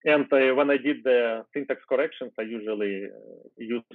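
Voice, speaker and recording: A Ukrainian-native man in his thirties talks through code in a relaxed way, with fillers and restarts. He sits at home on a video call, and the sound is thin and phone-like. The audio is dry, with no room echo. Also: mid-range voice, normal speed. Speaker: guest software developer. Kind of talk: casual screen-share coding chat.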